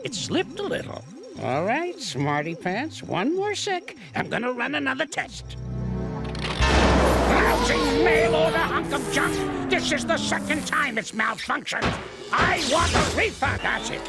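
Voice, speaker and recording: A crotchety old male ape from a cartoon, all gravel and grumble. He drawls slowly and his pitch lurches wildly between growls and yelps, while loud cartoon music plays underneath. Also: very animated, full character voice. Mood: irritated. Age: older. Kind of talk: cartoon series dialogue.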